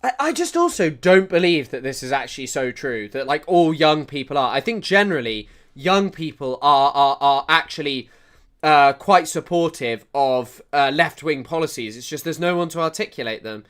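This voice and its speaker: Lively, mocking young man. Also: fairly high pitch, normal speed. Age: 20s. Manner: mocking, rambling, many fillers and restarts. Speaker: commentator reacting to clips. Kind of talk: casual reaction commentary.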